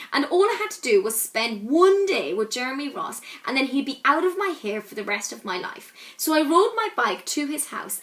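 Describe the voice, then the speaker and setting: Female storyteller for a children's picture book: high, bright voice, dramatic emphasis and pauses. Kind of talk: expressive read-aloud storytelling.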